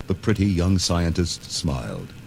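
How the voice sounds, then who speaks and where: A man's voice: deep voice, sing-song, unhurried, gravelly, a little mellow, slight background noise. A man narrating a children's story record in a dramatic, scripted style.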